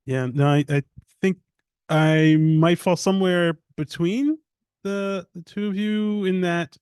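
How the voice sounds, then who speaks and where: Hesitant man with a smooth voice. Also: sits high, takes it slowly, a little mellow, no room sound, studio quality. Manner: hesitant, many ums and restarts. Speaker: poet on a reading panel. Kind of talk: reflective panel answer. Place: live reading event before an audience.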